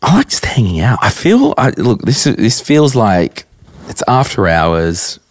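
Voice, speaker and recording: A young Australian man with a croaky voice hosting a casual solo podcast at his desk, answering listener questions bluntly and chattily. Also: lowish voice, sing-song, a little slow, dry recording, studio quality. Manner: chatty, blunt, rambling with fillers. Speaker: podcast host. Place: at a desk, indoors.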